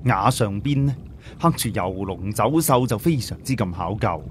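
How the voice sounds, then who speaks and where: A man's voice: on the low side, animated, moderate pace, slight background noise. A man with a Cantonese accent narrating an audiobook story in a dramatic, scripted storytelling style.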